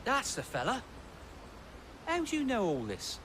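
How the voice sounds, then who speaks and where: An actor with a British accent playing a worried, plain-spoken young stable keeper in a video game. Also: male voice, very high-pitched, huge pitch swings, a little slow, noisy background, full character voice. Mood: puzzled, worried. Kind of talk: video game cutscene acting.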